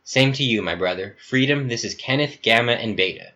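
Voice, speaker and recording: A man's voice: mid-range voice, a little fast, pushed and bright, dull audio, slight background noise, lightly acted. A man voicing a smug, scheming Survey leader who talks in a cool, self-assured, chummy way.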